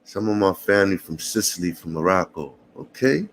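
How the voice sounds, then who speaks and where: A man, chatting casually to passersby and viewers in a relaxed morning vlog, calling everyone brother and man. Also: low-pitched, brisk, a little hiss or hum. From Puerto Rican family. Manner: laid-back, slangy, calls people brother and man. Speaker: vlogger.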